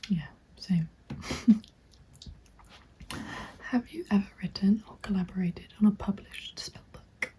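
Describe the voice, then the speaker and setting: A young woman speaks in a breathy, mellow whisper, as in an ASMR roleplay. She is gentle, chatty and reassuring, right up by the microphone. Faint noise and keyboard clicks sit behind her voice. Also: mid-range voice, moderate pace, few pauses. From England. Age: 20s. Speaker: ASMR creator playing a recruitment agent. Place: small dim room at home. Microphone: close microphone. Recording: constant background noise with keyboard typing. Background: keyboard typing and clicks.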